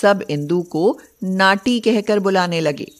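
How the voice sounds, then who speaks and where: Slow-paced woman narrating a story. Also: medium pitch.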